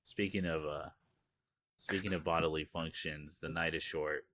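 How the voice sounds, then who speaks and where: A man's voice: extremely low pitch, steady tone, normal speed, pushed and bright. A tired man co-hosting a podcast, in casual chat, rambling and joking crudely with lots of laughs. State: tired, low voice. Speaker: podcast co-host.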